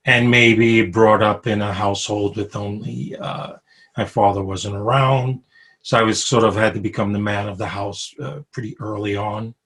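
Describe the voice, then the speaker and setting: A man in his sixties from Brooklyn with a New York accent, telling his life story over a video call, with pauses, fillers and frequent soft chuckles. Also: lowish voice, fairly even intonation, husky. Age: 60s. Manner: unhurried, chuckles often, many pauses and fillers. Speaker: interviewee. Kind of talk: reflective personal storytelling.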